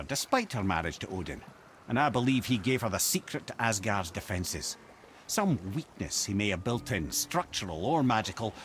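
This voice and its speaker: An actor playing the witty talking head of a wise old storyteller in a video game, eloquent and theatrical with wry asides. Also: male voice, fairly low pitch, a bit bright, a little hiss or hum.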